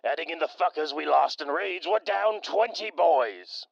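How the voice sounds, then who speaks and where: Man playing a gravelly foul-mouthed raider. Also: on the high side, animated, normal speed, dry recording, muffled recording. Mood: grumbling. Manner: swears constantly, argues hotly. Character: foul-mouthed, wary male raider lieutenant.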